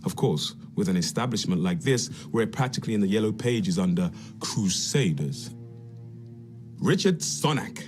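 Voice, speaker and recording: An actor playing an urbane, dryly sardonic senior Templar officer in a video game, speaking formally. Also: male voice, on the low side, lively intonation, normal speed, a little mellow, faint music behind, natural voice. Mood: dryly welcoming. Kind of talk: scripted video game dialogue.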